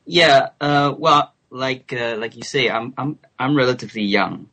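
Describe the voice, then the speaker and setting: A man in his twenties from Singapore, a guest on a remote call, speaking thoughtfully with soft laughs and hesitant fillers. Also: mid-range voice, measured pace. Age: 20s. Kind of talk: relaxed interview chat.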